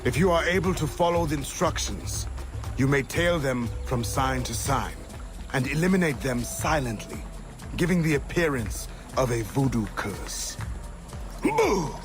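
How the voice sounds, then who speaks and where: An actor voicing a stern, paranoid, embittered mentor of assassins in a video game, curt and scolding. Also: male voice, mid-range voice, very animated, measured pace, over music. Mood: scheming, impatient. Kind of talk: dramatic video game voice acting.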